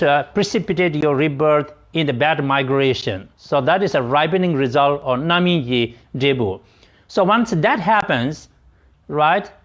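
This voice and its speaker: A man interpreting Buddhist teachings, explaining them haltingly as he searches for words and often rephrases. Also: a little high. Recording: dull, lo-fi audio.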